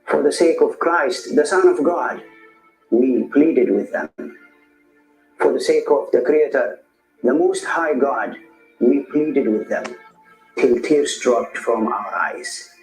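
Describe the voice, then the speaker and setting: A man plays a priest who tells his account with slow, grave solemnity. His delivery is measured and unhurried. The voice rings in an echoey room with faint music underneath. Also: mid-range voice, a little mellow, natural voice. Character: male priest recounting a church massacre. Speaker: narrator in a played video. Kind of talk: solemn scripted testimony.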